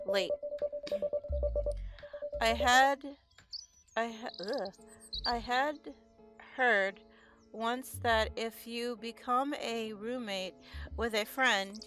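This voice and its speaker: A woman streaming a visual novel, reading the text aloud haltingly and stumbling over words as she sounds them out. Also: medium pitch, a bit bright. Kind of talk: halting read-aloud of game text with casual chat.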